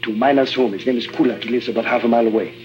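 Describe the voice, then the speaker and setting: An actor playing a jovial but fatalistic Eastern European guide, wry and theatrical, in an early 1950s spy drama. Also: male voice, mid-range voice, steady tone, very fast, lightly acted. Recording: dull old 1950s soundtrack with music.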